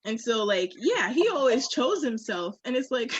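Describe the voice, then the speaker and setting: A woman's voice: on the high side, very animated, measured pace, husky, dry recording. A woman talking candidly on a podcast in an emphatic, slangy way, with swearing.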